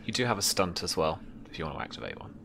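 A man's voice: low-pitched, very animated, racing, vocal fry, background noise. A young man running a tabletop game, casually explaining rules and details as game master.

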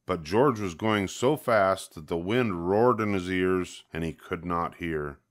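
Older guy reading a kids picture book, really low voice, takes his time, expressive. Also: a little slow. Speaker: storybook reader. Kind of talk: scripted narration of a children's picture book.

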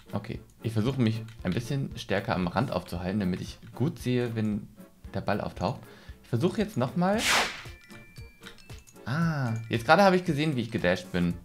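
A man in his twenties giving casual, self-deprecating let's play commentary, thinking aloud into a close desk microphone. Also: mid-range voice. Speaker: gaming video host. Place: small room at home, indoors. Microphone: desk studio microphone, close.

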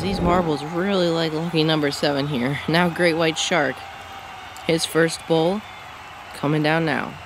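A man giving play-by-play commentary on a marble bowling event, excitable and rising on the big moments. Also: fairly high pitch. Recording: loud background noise.

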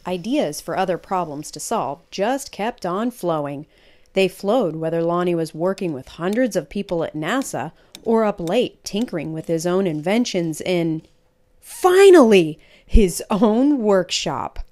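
Unhurried woman reading a picture book aloud. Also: lowish voice. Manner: animated, emphatic storytelling.

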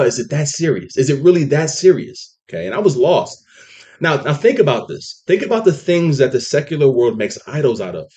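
A man's voice: medium pitch, a little mellow. A man giving a casual sermon-style commentary, emphatic, often saying "okay" and "see" as he makes his point. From California. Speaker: online preacher.

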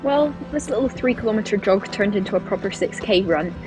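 A woman's voice: mid-range voice, animated, brisk. Young woman vlogger over background music. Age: 20s. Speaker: PhD student vlogger. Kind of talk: vlog narration and casual chat to camera.

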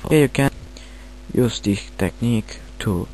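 A man with a Romanian accent, not a native English speaker, halting through a screen-recorded software tutorial with many fillers. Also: lowish voice, slight background noise, poor audio. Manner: hesitant, halting, filler-heavy. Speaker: tutorial maker.

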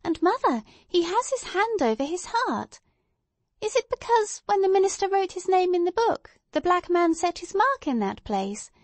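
A woman voicing a lively, curious, prattling little girl in a storybook, airy and full of questions and exclamations. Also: very high voice, normal speed, dry recording, pitched above the performer's own voice. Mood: eager, curious.

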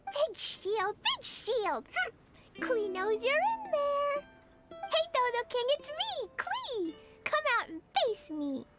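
A woman voicing an excitable, playful little girl adventurer in a video game, with a childlike, eager manner. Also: extremely high pitch, slow, loud music behind the voice, exaggerated voice. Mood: excited.

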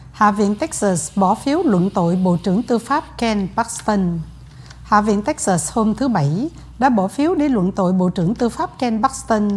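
Husky-voiced woman reading the news. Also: medium pitch. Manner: even, formal news delivery. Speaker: news anchor. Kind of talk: read news bulletin. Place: TV news studio.

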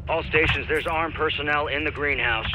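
An actor playing a confident American mercenary commander, giving terse military orders in a game. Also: male voice, a little high, fairly even intonation, normal speed, heavy background noise, natural voice. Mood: urgent. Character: confident American male mercenary commander directing air support. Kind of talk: military game radio dialogue.

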